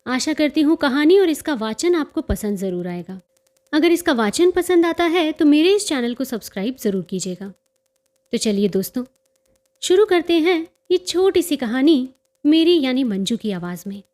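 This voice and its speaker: A woman's voice: high-pitched, big pitch swings, moderate pace, a little mellow. A woman reading a literary story aloud as a scripted audiobook-style narration for her listeners. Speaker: storyteller and channel host.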